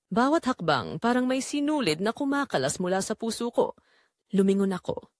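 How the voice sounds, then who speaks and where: A woman's voice: medium pitch, dry recording, studio quality. A woman with a Filipino accent narrating a scripted first-person drama in an emotive, confessional way.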